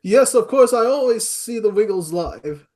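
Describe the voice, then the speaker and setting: A man from the United States chatting casually as a podcast guest, with hesitant, halting phrasing and short answers. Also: sits high, expressive, a little mellow. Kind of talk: casual chat.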